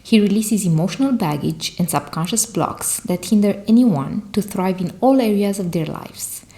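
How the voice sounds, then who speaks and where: A woman hosting a podcast, reading a measured introduction of her guest and then asking him questions. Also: mid-range voice, expressive, mellow. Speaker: podcast host.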